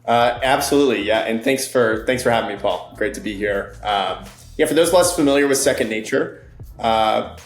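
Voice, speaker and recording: A man's voice: mid-range voice, reverberant. A man answering as a company guest in an interview, friendly and fluent with frequent fillers.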